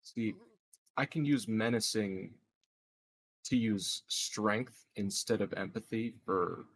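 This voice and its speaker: A man's voice: fairly low pitch, slow pace, breathy edge. A man chatting casually as a player in a tabletop game, thinking aloud a little hesitantly. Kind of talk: casual gaming chat.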